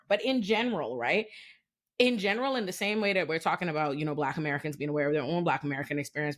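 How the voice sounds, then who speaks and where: Fast-talking, animated Bahamian-raised woman. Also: sits low. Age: 30s. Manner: fast, animated, emphatic storytelling. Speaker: podcast host. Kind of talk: casual chat.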